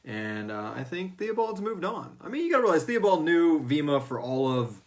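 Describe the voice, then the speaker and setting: A man's voice: on the high side, sing-song, normal speed. A man hosting a fan Q&A, chatting informally and jokily with salty language as he answers questions. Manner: informal, profane, jokey. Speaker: host of a fan Q&A show. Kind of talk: casual chat answering listener questions.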